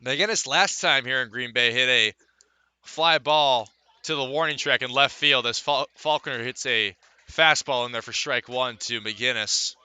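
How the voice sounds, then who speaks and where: A man's voice: mid-range voice, unhurried, sharp tone, clear, resonant tone, no room sound. A man calling live baseball play-by-play for radio. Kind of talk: live radio sports commentary.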